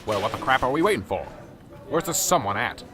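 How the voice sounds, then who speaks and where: A man's voice: mid-range voice, sing-song, a little fast, natural voice. An actor playing an exasperated male police sergeant in an amateur radio drama. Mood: weary. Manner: curt, exasperated. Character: gruff weary male small-town police sergeant.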